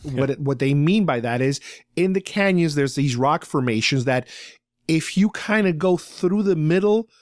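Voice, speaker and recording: Male podcast co-host, slightly high voice, conversational explainer delivering a casual recap, very dry recording. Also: lively intonation, normal speed, no room sound. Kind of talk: casual recap of a story episode.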